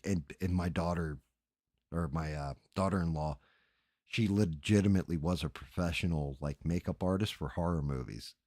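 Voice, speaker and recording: A man's voice: sits low, dark tone, dry recording, clean studio sound. A middle-aged man chatting casually as a livestream host, crude and joking in a rambling, sweary way.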